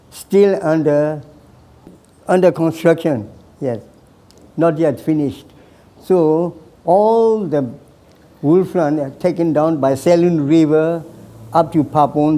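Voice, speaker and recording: A man in his nineties from Burma, slowly telling his life story with hesitant pauses and repeated phrases. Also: on the high side, mellow. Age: 90s. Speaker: veteran recounting his life. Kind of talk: slow personal storytelling.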